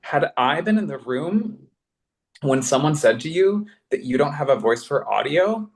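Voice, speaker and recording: A man's voice: a little high, expressive, measured pace, gravelly. A man speaking conversationally in a panel discussion as a podcast producer, effusive and emphatic, thinking aloud in casual slang.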